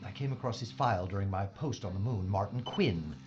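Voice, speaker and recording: A man's voice: on the low side, brisk, noisy background, lightly acted. A man playing an obsessed, pleading mad scientist in a sci-fi audio drama, with a dramatic, grandiose delivery. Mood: fervent, insistent. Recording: dull, muffled audio drama recording.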